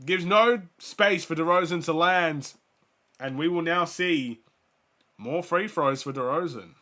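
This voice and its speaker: A young man hosts a fan watchalong, reading out player stats and calling the action. His voice is bright and sits a little high. He is sick and his voice is starting to give out, and he gets louder on the big plays. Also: a little slow. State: sick, voice going. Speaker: fan host of a watchalong stream. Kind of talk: casual play-by-play and stats commentary.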